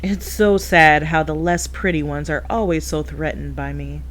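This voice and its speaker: A woman's voice: low voice, steady tone, moderate pace, slight background noise, natural voice. A woman playing a vain young female lycan, snotty and dismissive, in a comedy-horror audio drama. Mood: haughty. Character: vain, haughty young female lycan vixen, bratty and sullen.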